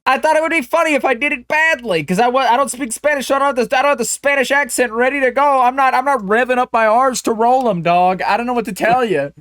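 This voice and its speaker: A man's voice: extremely high pitch, quick pace, hardly any pauses, a bit bright, no echo, studio quality. A man co-hosting a podcast in casual chat, bantering jokily and swearing freely. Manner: profane, jokey bantering. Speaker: podcast co-host.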